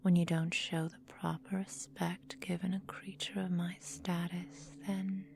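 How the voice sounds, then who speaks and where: A woman playing a centuries-old vampire mistress in a whispered ASMR roleplay, breathy and close to the microphone, condescending, possessive and coolly doting, with formal, deliberate diction. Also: on the low side, flat delivery, noisy background. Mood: stern, possessive.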